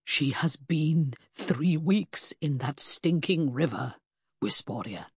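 A woman's voice: sits low, animated, slow pace, husky, no room sound, studio quality, exaggerated voice. A woman voicing an anguished, weary husband in an audiobook, pleading and insistent with exasperation. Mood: grim. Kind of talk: audiobook character acting.

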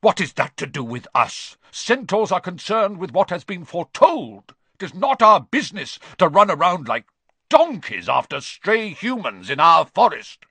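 A man's voice: lowish voice, expressive, moderate pace, fairly bright tone, dry recording. A man voicing a fierce, proud centaur in an audiobook, scolding and declaiming in an indignant growl. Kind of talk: dramatized audiobook character voice.

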